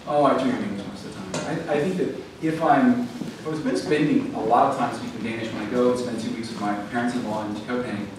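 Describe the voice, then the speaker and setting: A man answers audience questions at a library event, talking quickly and explaining at length with plenty of ums and restarts. He is heard from a distance in a very echoey hall, with steady room noise underneath. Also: mid-range voice. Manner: thoughtful, explanatory, frequent fillers and restarts. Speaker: author speaking at a library event.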